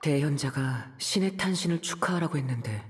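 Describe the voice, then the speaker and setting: An actor voicing a thoughtful young traveler in a game, reasoning through a mystery in a measured, pensive way. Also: male voice, on the high side, animated, natural voice. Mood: pensive, puzzled. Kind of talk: scripted game dialogue.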